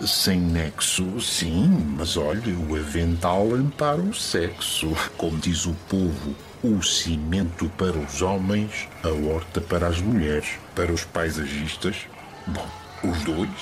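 Very deep-voiced pompous father. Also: animated, takes it slowly, background noise. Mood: pompous, wry. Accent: European Portuguese. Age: middle-aged. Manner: pompous, wry, declamatory. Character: pompous, bawdy landscape architect father, middle-aged, wordy. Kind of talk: radio soap opera acting.

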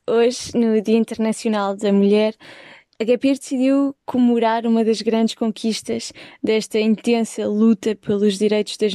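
A woman's voice: mid-range voice, warm tone, no echo, clean studio sound. A woman in her twenties with a European Portuguese accent, telling a personal travel story with an upbeat opening and frequent hesitation sounds. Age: 20s. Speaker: guest sharing a travel story. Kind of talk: recorded personal testimony.

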